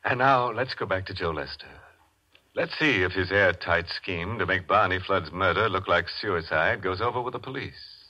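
Low, sharp-edged macabre male radio host. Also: moderate pace. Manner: macabre, tongue-in-cheek, theatrical. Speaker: mystery show host. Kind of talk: 1940s radio horror hosting. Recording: muffled vintage radio broadcast.

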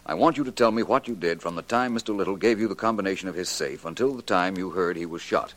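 An actor playing a suave, shrewd private detective, polished and wryly courteous, in a late 1940s radio drama. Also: male voice, deep voice, fast talker, a bit bright. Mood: courteous, assured. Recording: old 1940s radio recording.

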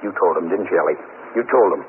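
An actor playing a sullen, defiant out-of-work robbery suspect, surly and defensive, in a 1950s radio drama. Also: male voice, low voice, fast, lightly acted. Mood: agitated, defensive. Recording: scratchy, narrow-band 1950s radio recording.

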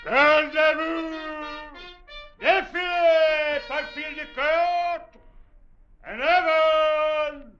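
An actor in an old radio drama playing a French Foreign Legion officer shouting parade-ground drill commands. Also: male voice, extremely high pitch, steady tone, soft background music, heavily acted. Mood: commanding. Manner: drawn-out parade-ground bellow. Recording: dull vintage radio recording.

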